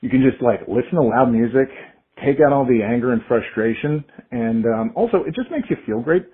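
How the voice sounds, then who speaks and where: A narrow phone recording of a man with a rough, husky voice. He is a caller leaving a casual, rambling message at a middling pitch. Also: animated, fairly quick.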